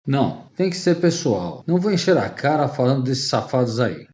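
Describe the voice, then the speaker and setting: A slightly muffled, lo-fi recording of a cheeky middle-aged man played by an actor in a radio play. He acts innocent with lively, swinging intonation. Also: medium pitch, moderate pace, lightly acted. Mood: skeptical. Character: cheeky middle-aged father, mock-innocent old friend. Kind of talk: radio drama acting. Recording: dull lo-fi voice recording.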